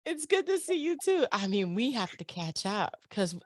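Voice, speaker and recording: A woman's voice: medium pitch, big pitch swings, moderate pace, dry recording, studio quality. A woman hosting a casual radio interview, exuberant and slangy in her way of talking. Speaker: radio show host.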